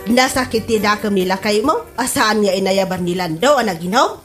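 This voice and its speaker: A woman's voice: mid-range voice, fairly quick, soft background music, close to an everyday voice. A woman playing a gossipy, disapproving older neighbour in a comedy audio drama, with an Ilocano Filipino accent.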